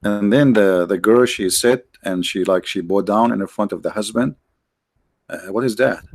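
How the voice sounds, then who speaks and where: A man's voice: fairly low pitch, moderate pace, creaky, no room sound. A man hosting a livestream, talking casually and sarcastically, with many restarts and fillers. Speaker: livestream host.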